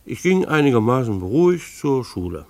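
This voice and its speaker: A mild, put-upon teacher and husband telling his story in a radio play. His delivery swings expressively in pitch and often drops into fry. He sounds earnest and wry, picking his words with some hesitation. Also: very animated, a little fast. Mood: wry narrating. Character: beleaguered, mild-mannered schoolteacher husband, fond of animals. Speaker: narrator and lead character. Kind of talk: German radio play acting with first-person narration.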